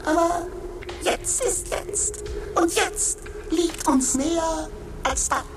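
A woman voicing a talking stone that is new to the world, speaking in a measured, musing way in a radio drama. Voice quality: very high, slow and deliberate. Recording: roomy studio with music underneath.

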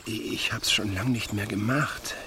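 Radio play, actor as deep-voiced awkward shy man, hesitant delivery, background noise. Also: steady tone, lightly acted. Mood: awkward, wistful. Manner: halting, reticent. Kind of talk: radio play acting in standard German.

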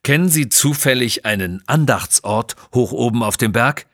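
A man's voice: mid-range voice, lively intonation, moderate pace, fairly bright tone, dry recording, studio quality. Man voicing bold, decisive adventurer Johnny. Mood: inquiring.

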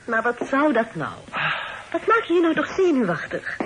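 A woman's voice: sits high, sing-song, normal speed. An actress playing an unruffled, chiding woman in a Dutch radio play. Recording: noisy old radio play recording.

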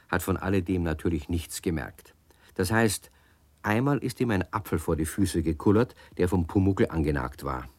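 A man narrates a children's radio story in a deep voice with a lively, playful melody. The sound is dry and close. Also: no room sound. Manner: warm, cozy storyteller, playful emphasis.

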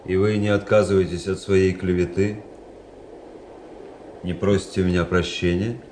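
A man's voice: low voice, little pitch movement, darkish tone, close to an everyday voice. Cold, composed officer in echo played by a man. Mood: cold, grave. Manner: deliberate, coolly formal, commanding. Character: cold, composed young Russian officer facing a duel. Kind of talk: radio drama acting. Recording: echoey, noisy old radio recording.

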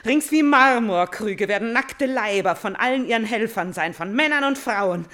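An actress playing a grieving, vengeful princess in a classical tragedy radio play, with intense, measured delivery. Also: female voice, on the high side, expressive, a bit bright. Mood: impassioned, vengeful. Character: Elektra, grieving, vengeful princess calling to her murdered father.

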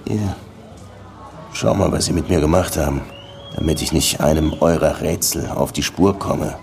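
Low-voiced, sardonic weary detective. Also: male voice, normal speed, gravelly, darkish tone, a little hiss or hum. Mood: wry, determined. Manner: dry, wry, grumbling. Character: weary, sardonic male Havana police detective. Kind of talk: radio drama dialogue and first-person narration.

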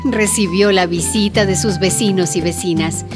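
Female radio play narrator, medium pitch, scripted, music clearly behind. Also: fairly even intonation, moderate pace.

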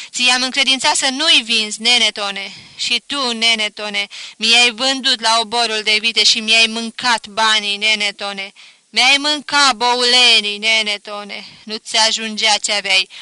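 A reader voicing a grieving peasant mother, a widow, keening loudly and high over a dead brother in a storybook reading. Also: clear, resonant tone, no room sound. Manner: keening lament, loud and high. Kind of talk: audiobook character voice.

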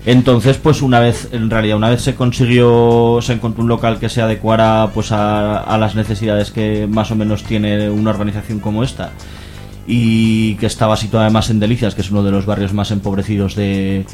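A man's voice: on the low side, little pitch movement, a little fast, darkish tone, faint music behind. A man with an accent from Spain explaining things as an activist guest in a radio interview, in a hesitant, careful way. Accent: Spanish from Spain.

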